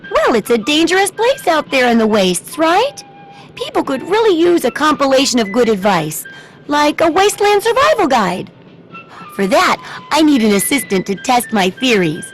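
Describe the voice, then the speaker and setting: A woman's voice: sits high, wildly swooping pitch, moderate pace, soft background music, rough recording. A woman voicing a cheerful, eccentric shopkeeper and amateur researcher in a video game, with a perky, chatty manner. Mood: chirpy, eager. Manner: perky, chatty, singsong questioning tags.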